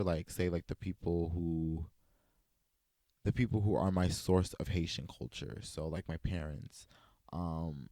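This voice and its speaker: Animated young man, low dry voice. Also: no echo. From United States. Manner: animated storytelling, quoting himself in a high playful voice. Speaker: vlogger. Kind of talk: casual sit-down chat.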